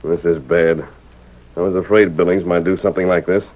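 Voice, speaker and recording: An actor playing an earnest, resolute western hero in an old-time radio drama, with formal, authoritative diction. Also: male voice, deep voice, fairly even intonation, fairly quick, lightly acted. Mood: serious, probing. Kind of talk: 1940s radio western acting. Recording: muffled old radio recording.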